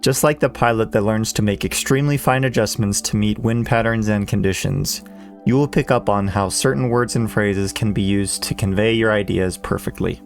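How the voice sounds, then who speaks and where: A man in his thirties, a language teacher, reading a measured, earnest voice-over lesson. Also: lowish voice, steady tone, vocal fry. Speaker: Japanese teacher. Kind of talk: scripted educational voice-over. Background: soft background music.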